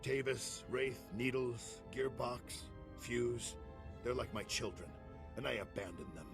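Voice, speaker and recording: A man playing a gruff, world-weary veteran commander in a game, gravelly, curt and sardonic. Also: mid-range voice, fairly even intonation, measured pace, lightly acted. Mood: pained, resolute. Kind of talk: video game cutscene acting. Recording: music behind the voice.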